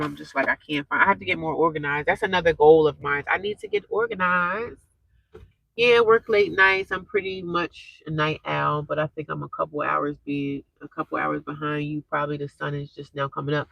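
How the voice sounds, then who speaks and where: A woman's voice: low-pitched, steady tone, a little fast, creaky, a bit bright, no room sound, rough recording. A young woman, stuffed up and congested, chatting casually with viewers on a live stream through a cheap earphone mic. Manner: rambling, chatty, talks straight to viewers.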